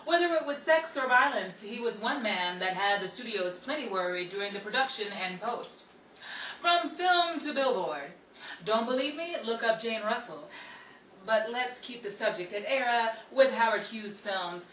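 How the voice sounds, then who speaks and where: Woman, bright forceful high voice, theatrical playful old-time radio host, roomy distant recording. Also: animated, moderate pace. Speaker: host and narrator of a radio play. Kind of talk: 1930s-style radio show announcing. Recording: roomy, distant mic, noisy crowd.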